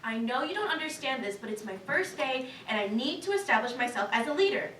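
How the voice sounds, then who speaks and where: A woman's voice: high-pitched, very animated, brisk, fairly bright tone, distant mic. A young woman acting the part of a bossy, insecure new boss in a staged reading of a cartoon script. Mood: defensive. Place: live staged reading in a room. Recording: echoey room from afar, faint noise.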